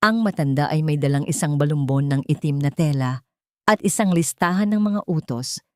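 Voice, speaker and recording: A woman's voice: low voice, animated, normal speed, no room sound, studio quality. A woman reading a dramatic scripted story as narrator.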